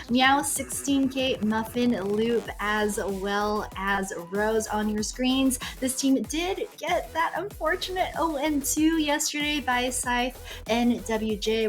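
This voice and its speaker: A young woman from Singapore, chatting animatedly as an esports desk caster. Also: on the high side. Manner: chatty and animated, laughs often.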